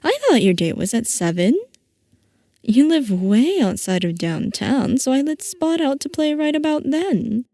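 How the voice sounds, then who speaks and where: A woman's voice: high voice, very animated, a little slow, dark tone, no echo, studio quality, heavily acted. A woman playing a playful, theatrical mad-scientist villain boss in a roleplay audio, coy and mock-innocent as she teases. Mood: amused, teasing. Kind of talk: scripted roleplay audio, ASMR-style character acting.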